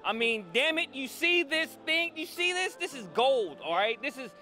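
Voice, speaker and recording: A man with a high-set voice hosts a casual interview on a handheld microphone at a convention. He talks in an animated, slangy way and repeats phrases to hype things up. Music plays behind him. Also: normal speed. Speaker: show host interviewer. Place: indoor convention hall booth.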